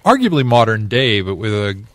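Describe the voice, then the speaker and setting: A man's voice: lowish voice, big pitch swings, brisk, no echo. A man co-hosting a casual comics podcast, chiming in with brief comments and agreement. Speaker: podcast co-host. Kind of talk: casual chat about comics.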